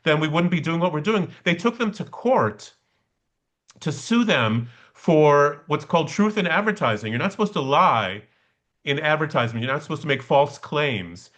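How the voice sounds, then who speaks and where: A man's voice: fairly high pitch, expressive, normal speed, fairly bright tone. A man leading a study session in a conversational, explanatory way, often checking in with a short questioning tag. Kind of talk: conversational seminar teaching. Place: online class over video call. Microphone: video call.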